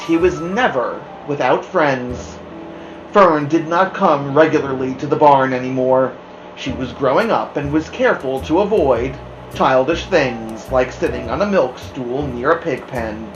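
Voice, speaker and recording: Expressive woman reading a children's story, roomy. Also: extremely low pitch, unhurried, faint music behind. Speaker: storybook reader, podcast host. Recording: roomy, echoing room sound.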